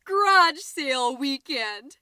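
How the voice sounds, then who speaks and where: A woman's voice: sits high, animated, slow, sharp tone, no echo, clean studio sound, full character voice. A woman playing a chatty, scatterbrained, overexcited middle-aged suburban mom who hosts a comedy podcast, rambling, interrupting herself and stressing words theatrically. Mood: chirpy, self-satisfied. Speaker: podcast host. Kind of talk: comedic character monologue as a homemade podcast.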